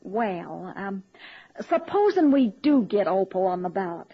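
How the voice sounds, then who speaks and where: An actress playing a dim, deadpan, folksy female campaign secretary in a radio comedy. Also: medium pitch, a little slow, slightly rough, full character voice. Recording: dull lo-fi old radio recording.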